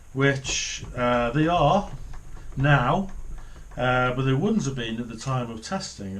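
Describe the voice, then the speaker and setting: A middle-aged man talks through a hands-on job at an ordinary, medium pitch. His delivery is casual and unscripted, sometimes muttered to himself. The indoor recording has faint noise and small clicks. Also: fairly bright tone. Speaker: hobbyist presenter of a radio repair video. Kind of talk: casual hands-on demonstration commentary. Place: small home office, indoors.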